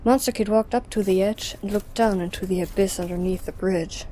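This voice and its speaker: A woman's voice: medium pitch, fairly even intonation, fairly quick, warm tone, a little hiss or hum. A woman narrator reading scripted story narration for an audio drama.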